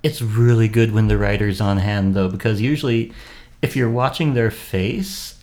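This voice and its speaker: A man and actor in casual chat, calmly explaining the actor's craft. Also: on the low side, normal speed. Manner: thoughtful, explaining with metaphors. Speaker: actor and podcast guest host.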